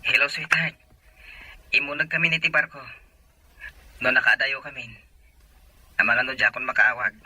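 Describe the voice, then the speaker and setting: An actor playing an earnest, protective young seafaring sweetheart speaking over the phone in a radio drama. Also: male voice, medium pitch, flat delivery, normal speed, pauses often, bright, forceful tone, slightly rough. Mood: affectionate.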